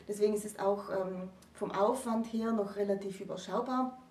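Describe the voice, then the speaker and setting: A woman presents an explanatory talk in a deliberate, conversational way. Her tone is mellow and relaxed. The recording is roomy, with the microphone some distance away. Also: medium pitch, slight background noise. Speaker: co-presenter explaining literary history. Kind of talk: conversational lecture. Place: small indoor room with bookshelves.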